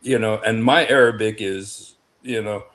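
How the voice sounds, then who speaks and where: A man, raised in the United States, talking in a casual online panel discussion, emphatic and excitable, often restarting and repeating words. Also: on the low side, a little slow. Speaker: panel guest.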